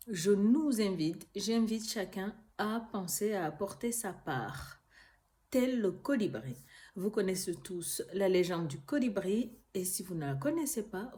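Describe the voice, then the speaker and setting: A woman's voice: medium pitch, a little mellow. Earnest woman vlogging. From Rwanda. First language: Kinyarwanda. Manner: earnest, hesitant with frequent fillers. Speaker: YouTube channel host. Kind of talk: casual talk to camera.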